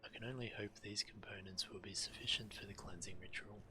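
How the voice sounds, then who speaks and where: An actor playing a grave, resourceful shaman in a fantasy video game, with measured, deliberate, serious delivery. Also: fairly low pitch, steady tone, background noise, lightly acted. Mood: urgent, focused. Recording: game music behind.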